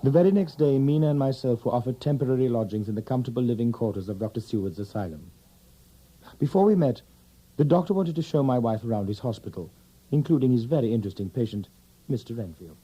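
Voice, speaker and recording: A man's voice: medium pitch, expressive, fast, husky. A man playing an earnest husband who narrates his account in a scripted Gothic audio drama. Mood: matter-of-fact. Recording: dull, lo-fi sound.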